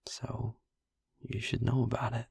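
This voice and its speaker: A man playing a gentle vampire boyfriend in an intimate audio roleplay, confiding a painful past in a soft, halting way. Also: completely flat delivery, no echo, exaggerated voice. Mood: hesitant, tender. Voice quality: husky, gravelly, breathy low voice.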